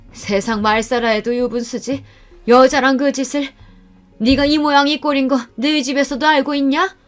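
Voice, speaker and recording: A woman's voice: a little high, voice pushed up, close to an everyday voice. Woman playing a stern, scolding older mother-in-law. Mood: indignant scolding. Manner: solemn, scolding.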